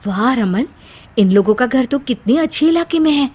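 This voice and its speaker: Woman acting ambitious young wife, high pitch, insistent, exclamatory, nagging, family drama story. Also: animated, moderate pace, a little mellow, husky. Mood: impressed, envious.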